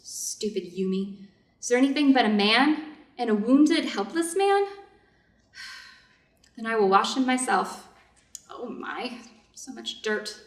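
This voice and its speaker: A fairly high female voice carries from some distance across an echoey room. It is a woman acting a doctor's wife in a readers' theater drama, dutiful and anxious. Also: lively intonation, slow, pauses often. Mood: uneasy.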